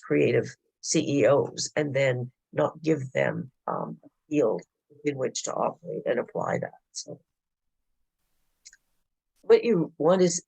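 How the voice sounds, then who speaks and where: A man who is losing his voice gives a formal, explanatory presentation at a board meeting. His delivery is halting, with frequent hesitations. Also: mid-range voice, vocal fry, husky, dry recording, poor audio. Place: public board meeting room.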